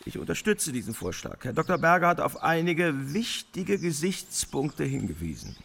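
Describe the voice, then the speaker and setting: Stern 1940s parliamentarian for radio drama,, husky, gravelly, lively intonation, slightly high voice. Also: male voice, normal speed, exaggerated voice. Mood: firm, warning. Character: stern, cautioning parliamentary delegate of 1948. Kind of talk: formal debate speech.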